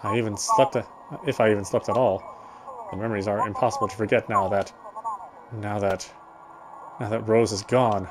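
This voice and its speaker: A man reading a visual novel's text aloud as dramatic narration, solemn and a little halting. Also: fairly low pitch, fairly even intonation, background noise. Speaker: game player reading story text aloud.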